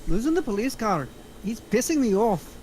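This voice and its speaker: A man's voice: sits high, big pitch swings, normal speed, darkish tone, slight background noise. A man reading the part of a blunt, trigger-happy gangster sidekick in a screenplay table read. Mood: annoyed.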